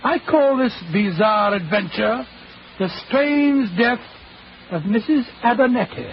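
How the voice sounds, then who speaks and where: An actor playing Doctor Watson as a genial, bumbling older English gentleman, hesitant and avuncular, in 1940s radio drama style. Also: very high-pitched, expressive, measured pace, full character voice. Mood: genial storytelling. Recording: muffled, noisy 1940s radio recording.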